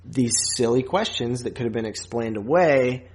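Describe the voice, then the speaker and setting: A man co-hosting a podcast in casual film review chat, thinking aloud in hesitant, halting phrases. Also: medium pitch, animated, darkish tone. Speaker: podcast co-host.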